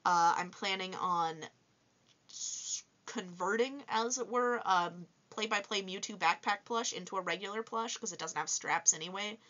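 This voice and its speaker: A woman in her twenties with a low voice, chatting casually and upbeat in a vlog, with many fillers. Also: moderate pace, pushed and bright, muffled recording. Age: 20s. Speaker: toy reviewer and vlogger. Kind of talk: casual vlog answering viewer questions. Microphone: voice-over with face unseen.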